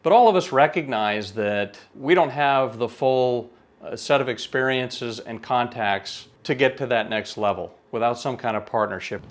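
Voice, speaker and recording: A man in his forties lays out business details in a measured, businesslike tone. He speaks to camera as the manager of a small company, steady and matter-of-fact. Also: medium pitch. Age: 40s. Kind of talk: pitch video talk to camera.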